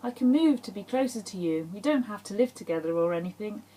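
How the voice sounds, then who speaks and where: A woman's voice: mid-range voice, animated, quick pace, warm tone, pitched above the performer's own voice, lightly acted, low-quality recording. A woman voicing a heartbroken young woman in love, pleading in dramatised audiobook dialogue. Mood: pleading, desperate. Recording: faint background hum.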